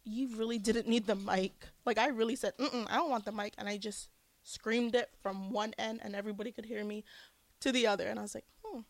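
Loud animated woman co-host. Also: fairly high pitch, brisk, no room sound. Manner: animated storyteller, says she has a loud voice. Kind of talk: casual chat.

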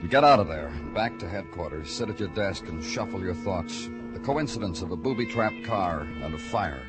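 A hard-boiled American detective in vintage noir radio drama. His low voice is clipped, brooding and weary, with prominent dramatic music behind it. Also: expressive, normal speed. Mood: somber, urgent. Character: terse, world-weary male police detective narrating his case. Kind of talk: 1950s noir radio drama narration and dialogue.

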